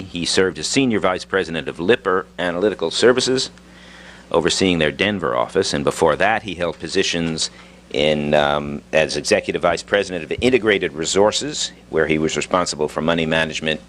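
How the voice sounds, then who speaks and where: A man's voice: a bass voice, a bit bright. A man moderating a conference, giving a formal introduction of a speaker. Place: live conference with podium. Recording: faint background noise, live event.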